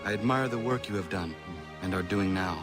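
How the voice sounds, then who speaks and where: A male actor plays a young hunter, the hero of a video game. He speaks in a fairly deep register, serious and reserved, choosing words deliberately. Prominent music runs behind the voice. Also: close to an everyday voice. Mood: guarded, determined. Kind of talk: video game cutscene acting. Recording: loud background music.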